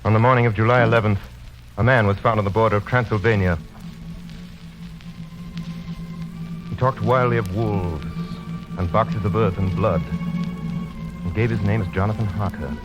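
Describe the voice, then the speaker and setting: Guy doing haunted gentleman doctor in a 30s radio drama, deep-ish deliberate narration, muffled old recording. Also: music in the background, lightly acted. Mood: formal, sober. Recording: dull old radio broadcast.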